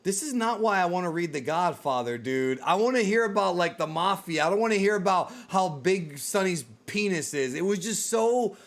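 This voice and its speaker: A man's voice: high-pitched, lively intonation, normal speed, few pauses, a bit bright. A man hosting a casual discussion of films and games, talking in a blunt, informal way that runs to swearing. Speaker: video show host. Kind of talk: casual film and game discussion.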